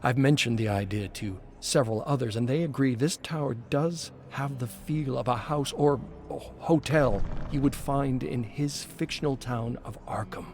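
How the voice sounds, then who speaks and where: An actor as slow, brooding male screenwriter. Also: medium pitch, a little mellow, lightly acted. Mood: pensive. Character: brooding male screenwriter musing over his haunted journal. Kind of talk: scripted dramatic journal narration. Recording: music behind the voice. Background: music.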